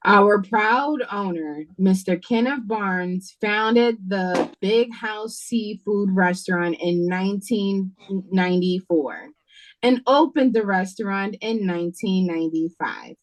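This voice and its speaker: A woman's voice: medium pitch, takes it slowly, natural voice, poor audio. A woman playing a guarded, sarcastic waitress in an audio drama read-through, curt and exasperated. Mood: reciting. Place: remote reading over a video call. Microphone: video call.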